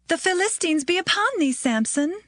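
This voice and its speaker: An actress playing a seductive, insistent temptress in a Bible audio drama, pleading in archaic language. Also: female voice, very high-pitched, animated, moderate pace, fairly bright tone, dry recording. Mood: urgent alarm.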